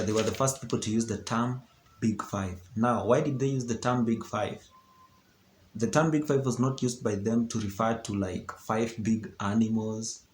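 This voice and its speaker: A young Kenyan man with a Kenyan accent, English not his first language, explaining things in a chatty vlog, often checking in with "okay? yeah". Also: mid-range voice. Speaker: vlogger. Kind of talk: casual educational vlog talk. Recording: roomy echo with faint background hiss.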